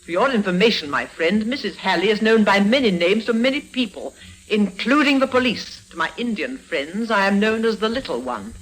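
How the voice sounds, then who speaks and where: A woman's voice: medium pitch, lively intonation, lightly acted, poor audio. Actress as a cunning, theatrical old woman crime boss. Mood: smug, gloating. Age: older. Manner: theatrical, deliberate, menacing. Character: cunning, ruthless old female con artist and crime boss. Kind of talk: 1950s radio drama acting.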